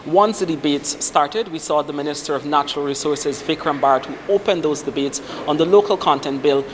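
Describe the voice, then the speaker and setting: A man giving a steady news report on a parliamentary debate. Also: on the high side, slight background noise.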